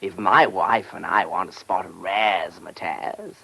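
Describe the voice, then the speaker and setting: Boastful English company director, gravelly husky voice, very animated, chummy slang, radio play. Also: male voice, sits low, a little slow, exaggerated voice. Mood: blunt, breezy. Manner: breezy, boastful, chummy upper-middle-class slang. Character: brash, self-satisfied, money-minded country-house owner and company director. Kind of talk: British radio drama acting.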